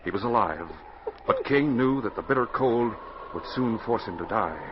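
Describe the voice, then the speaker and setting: Noisy dull old radio recording, male narrator, medium pitch, dramatic scripted storytelling. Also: lively intonation, moderate pace.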